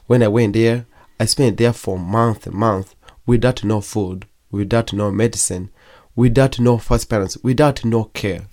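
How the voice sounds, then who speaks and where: A young man from Congo, whose first language is Kigogwe, telling his own story as an interview guest in halting speech full of pauses and restarts. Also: on the low side, steady tone, a little mellow. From Democratic Republic of Congo. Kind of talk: personal testimony in an interview.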